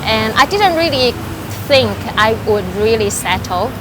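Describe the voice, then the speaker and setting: A woman's voice: fairly high pitch, animated, measured pace. A woman from Taiwan, a life and business coach chatting in an interview with many fillers and light laughs. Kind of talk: casual interview answers. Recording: noisy background.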